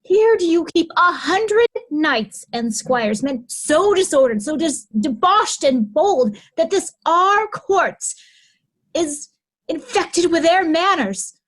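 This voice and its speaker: A woman's voice: extremely high pitch, animated, slow pace, exaggerated voice, low-quality recording. A woman playing a haughty royal daughter in a Shakespearean reading, formal and reproving. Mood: stern, reproving. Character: haughty royal daughter scolding her aged father.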